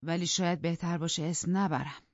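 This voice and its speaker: A man's voice: a little high, fairly even intonation, dry recording. A man reading an audiobook, voicing a proper, earnest middle-aged housekeeper in a polite, pleading way. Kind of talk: audiobook character voice. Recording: dull dry studio recording.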